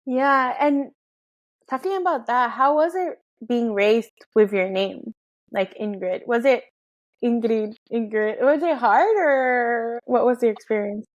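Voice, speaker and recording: A woman with a fairly high voice hosts a relaxed interview. She asks curious questions and lets them ramble into follow-ups. Her tone stays conversational throughout. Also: unhurried, dull audio. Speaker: podcast host.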